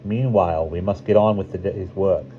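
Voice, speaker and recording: Man voicing grave leader, very low pitch, calm, storybook character, faint noise. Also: moderate pace, natural voice. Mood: grave, measured. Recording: dull lo-fi recording, faint hiss.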